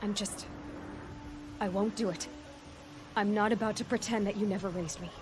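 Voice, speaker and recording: Young huntress acted by a woman, defiant, earnest, medium pitch, game cutscene, noisy ambience behind. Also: steady tone, normal speed, close to an everyday voice. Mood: defiant, determined. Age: young adult. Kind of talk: video game cutscene voice acting.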